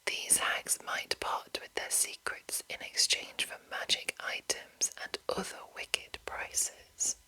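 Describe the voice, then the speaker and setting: A woman whispering in a breathy, unvoiced voice as an ASMR host, reading deliberately with soft enunciation. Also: rough recording. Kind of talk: whispered ASMR reading of a game manual with casual asides.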